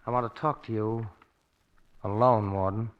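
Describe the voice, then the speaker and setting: An actor playing a cold-blooded, deliberately threatening madman in a 1940s radio drama. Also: fairly low pitch, steady tone, natural voice. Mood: sullen. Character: menacing, cold-blooded escaped madman with a knife. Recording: dull, band-limited 1940s radio recording.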